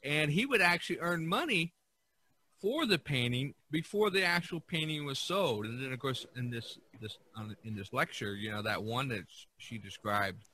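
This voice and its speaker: A man with a bright, forward voice at an ordinary pitch hosts a relaxed podcast discussion. He tells stories with enthusiasm, often tripping over a word and starting again. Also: normal speed, husky, rough recording. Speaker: podcast host. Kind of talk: casual art history discussion.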